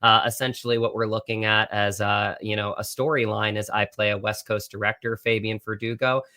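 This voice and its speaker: An American man talks as a guest in an interview. His voice is lowish and bright, with a level melody. He speaks earnestly and feels his way through his phrases. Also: flat delivery, a little fast. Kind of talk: conversational interview.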